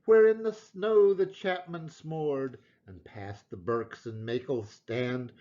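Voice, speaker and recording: A man giving a theatrical, expressive reading of a narrative poem in Scots dialect. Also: on the high side, slow pace, muffled recording. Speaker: poetry reader.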